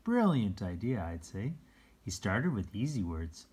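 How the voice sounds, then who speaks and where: Slow-paced read-aloud, slightly husky voice, chatty asides, simple explanations for children, informal storytelling. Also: sits low, very animated, a little mellow. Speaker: storyteller reading a children's picture book aloud. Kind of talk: casual read-aloud with asides to young listeners.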